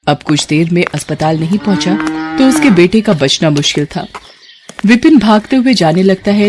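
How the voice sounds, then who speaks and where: A woman narrating a scripted moral story as its storyteller. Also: on the low side. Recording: clear background noise.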